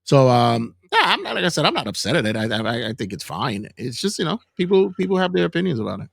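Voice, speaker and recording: A man hosting a casual wrestling news podcast, talking in an animated, joking way. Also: mid-range voice, fast talker, gravelly, no echo, studio quality. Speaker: podcast host.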